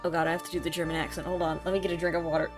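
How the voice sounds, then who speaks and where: A woman's voice: fairly low pitch, flat delivery, rapid-fire, music in the background. A playful, joking woman giving casual live commentary as she plays a game and voices its characters. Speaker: streamer playing a game and voicing its characters.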